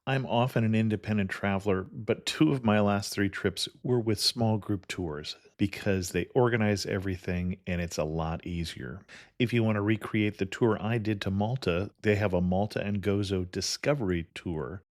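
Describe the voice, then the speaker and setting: A man's voice: fairly low pitch, normal speed, no room sound. Upbeat male podcast host, dry sound. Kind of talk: podcast host reading ads and interviewing.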